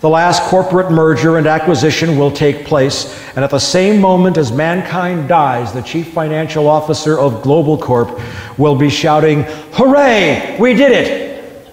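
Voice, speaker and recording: A man's voice: fairly high pitch, expressive, measured pace, darkish tone. Impassioned man reading in an echoey hall. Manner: impassioned, building to emphatic peaks. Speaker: public speaker. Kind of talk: live talk reading his essay to an audience.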